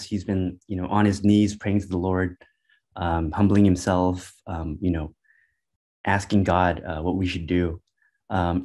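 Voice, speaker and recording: A man's voice: sits low, darkish tone. A congested man with a cold speaking hesitantly over a video call, with many ums and restarts. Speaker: guest Bible teacher. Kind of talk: casual testimony.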